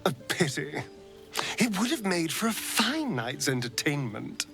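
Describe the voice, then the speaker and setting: A man's voice: a little high, very animated, a little slow, creaky, fairly bright tone, faint music behind, full character voice. A man playing a flippant, mischievous rogue in a video game, speaking in an arch, languid, theatrical way. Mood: amused disappointment.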